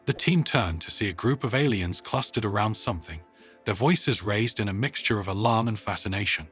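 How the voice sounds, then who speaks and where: Male narrator with a lively pitch range. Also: brisk, faint music behind. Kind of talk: scripted story narration.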